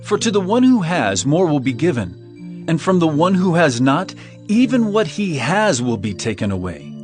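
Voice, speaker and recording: A commanding bible teacher preaching parables, highish lively voice, emphatic, music behind. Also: male voice, moderate pace. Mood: instructive. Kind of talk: dramatized scripture reading.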